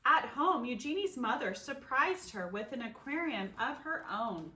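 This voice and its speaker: A woman reading a children's picture book aloud expressively, with dramatic pauses and emphasis. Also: on the high side, lively intonation, pushed and bright, low-quality recording. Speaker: storybook reader.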